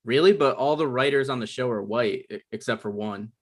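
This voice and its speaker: An actor playing an awkward, eager, put-upon young job seeker with a halting, flustered way of talking in a comedy table read. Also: male voice, medium pitch, lightly acted. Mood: eager, hesitant.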